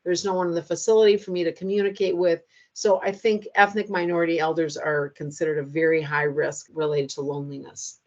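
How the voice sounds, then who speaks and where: A middle-aged American woman giving an online training lecture in a measured, explanatory way, speaking directly to her audience. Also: fairly low pitch, muffled recording. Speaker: lecturer on loneliness in later life.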